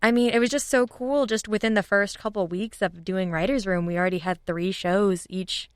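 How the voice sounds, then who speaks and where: A woman's voice: medium pitch, fairly even intonation, moderate pace, clean tone, no echo, studio quality. A woman chatting casually and enthusiastically as an interview guest, informal and upbeat. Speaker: guest, audio drama writers' room leader.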